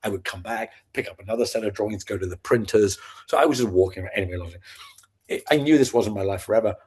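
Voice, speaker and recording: Husky-voiced Scottish man with a neutral British accent. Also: fairly low pitch, fast talker. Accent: neutral British, not Scottish-sounding. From Scotland. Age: 50s. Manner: lively storyteller acting out his past self in quotes. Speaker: podcast guest, sales founder. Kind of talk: animated storytelling in casual chat.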